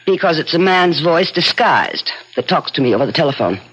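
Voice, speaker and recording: Haughty, curt rich heiress. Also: female voice, low-pitched, sing-song, fairly quick, fairly bright tone, slightly rough, full character voice. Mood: curt, skeptical. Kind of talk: 1970s radio drama acting. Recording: muffled old radio broadcast.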